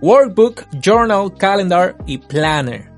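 Podcast host dude, asks friendly questions, says exacto a lot, voice on the high side, faint music behind. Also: male voice, expressive, slow pace. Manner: brief agreeing interjections and friendly questions. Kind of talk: casual interview questions.

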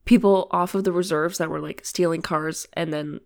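A woman's voice: on the low side, fairly even intonation, moderate pace, vocal fry, darkish tone. A woman in her twenties from Ontario, Canada, co-hosting a podcast in casual chat, her nose stuffed up, telling stories with lots of "like". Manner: chatty storyteller, frequent "like". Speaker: podcast co-host.

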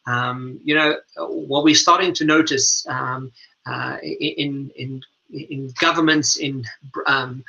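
Male radio interview host, forceful bright tone, halting phrasing, muffled lo-fi audio. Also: mid-range voice, fairly even intonation, gravelly. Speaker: radio show host and leadership coach.

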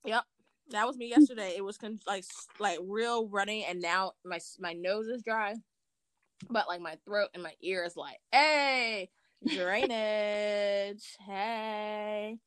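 Congested woman telling lively stories. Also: medium pitch, slow pace, smooth, no room sound. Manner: animated storyteller, acts out voices. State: congested from allergies. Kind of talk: casual chat. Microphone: remote call.

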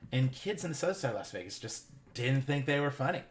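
A man's voice: medium pitch, expressive, quick pace. A man interviewing a fellow teacher, chatty and upbeat. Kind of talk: interview conversation.

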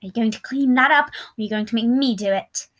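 Jealous controlling boyfriend done by a woman, really high voice, emotional n accusing, audio drama story. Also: quick pace, fairly bright tone, natural voice. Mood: cold, coaxing.

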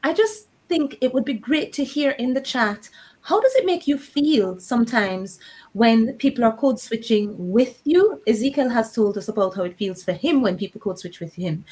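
A woman hosting an online panel discussion, reading from the chat and passing the floor to guests in a measured, inviting manner. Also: fairly high pitch, animated, a little mellow. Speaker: host.

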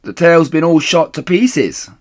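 A man voicing a bossy, impatient farmer's wife in a children's storybook, scolding and shouting shrilly. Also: fairly high pitch, expressive, a little slow, voice pushed up. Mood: brisk, bossy.

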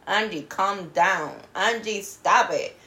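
A woman's voice: mid-range voice, takes it slowly, pushed and bright. A woman with a Puerto Rican accent co-hosting a podcast in casual chat, bubbly and switching between English and Spanish. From Puerto Rico. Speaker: podcast co-host.

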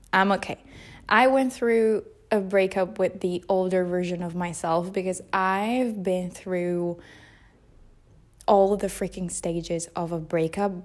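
Slow-talking young woman podcaster. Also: lowish voice, a little mellow. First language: Italian. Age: early 20s. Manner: chatty, rambling, self-deprecating. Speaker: podcast host. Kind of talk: unscripted casual podcast monologue. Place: at home on a couch. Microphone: recording without a mic.

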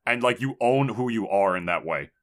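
A young man co-hosting a podcast, talking in a blunt, casual way with lots of swearing and quick asides. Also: lowish voice, lively intonation, a bit bright. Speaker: podcast co-host. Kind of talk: casual chat.

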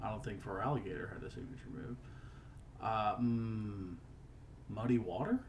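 A man co-hosting a casual podcast, joking and banter-driven, with a southern US accent, mumbling one moment and loud the next. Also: on the low side, normal speed. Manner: playful, jumps between mumbling and loud exclaiming. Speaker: podcast co-host. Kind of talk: casual chat.

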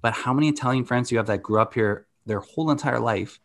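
A man's voice: mid-range voice, quick pace. A man co-hosting a casual sports chat, blunt and opinionated, swearing casually. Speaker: podcast co-host.

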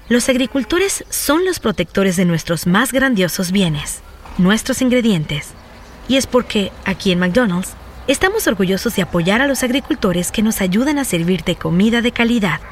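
A woman narrating a scripted advertisement as a commercial announcer. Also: medium pitch.

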